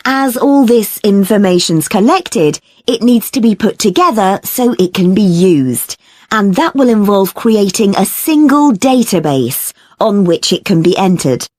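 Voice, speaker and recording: Female lecturer, lively pitch, very dry close sound, clear measured explaining. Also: no room sound. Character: female academic lecturer explaining problems and solutions. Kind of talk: scripted academic lecture.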